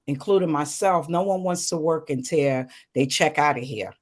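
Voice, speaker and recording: A woman's voice: sits low, moderate pace. Supportive woman in her sixties. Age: 60s. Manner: affirming, brief agreeing interjections. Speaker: co-host of a music talk livestream. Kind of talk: casual supportive chat.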